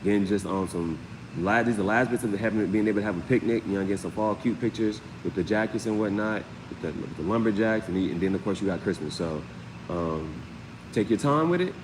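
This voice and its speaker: A man's voice: on the low side, fairly quick, background noise. A man hosting a live tarot reading, talking in a casual, rambling, conversational way with frequent filler phrases. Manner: rambling, conversational, repeats "you know what I'm saying".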